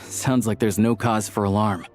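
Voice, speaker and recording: A man playing a steady, considerate companion in a video game. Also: fairly low pitch, moderate pace, soft background music, natural voice. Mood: calm, caring.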